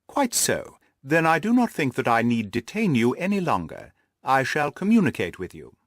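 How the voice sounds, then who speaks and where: A man's voice: mid-range voice, huge pitch swings, normal speed, no room sound, clean studio sound. A man voicing a confident, amused detective in an audiobook, precise and teasing in his talk. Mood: assured, businesslike. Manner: wry, precise, self-assured. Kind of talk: audiobook character dialogue.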